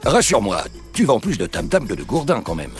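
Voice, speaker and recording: Low-voiced, puzzled cartoon customer. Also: male voice, huge pitch swings, a little fast, faint music behind. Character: curious, puzzled market customer. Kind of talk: French children's cartoon voice acting.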